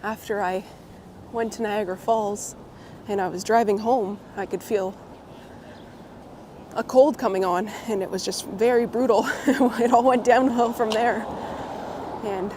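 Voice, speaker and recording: Woman a little hoarse, higher voice, chatty live stream walking outside, traffic noise all around. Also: fairly even intonation, a little slow, long pauses, a little mellow. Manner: friendly, greets viewers by name, laughs often. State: slightly hoarse, getting over a cold. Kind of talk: casual live-stream chat while walking. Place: city street outdoors, windy. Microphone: clip-on mic on coat. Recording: outdoor street recording with traffic noise. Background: city traffic and wind.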